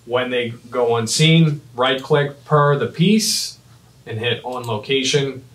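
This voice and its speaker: A man in his thirties giving a step-by-step software demonstration in short, clipped instructional phrases. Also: mid-range voice, takes it slowly. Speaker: fire dispatch trainer. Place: small indoor office at a computer desk. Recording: roomy, echoing, mic at a distance.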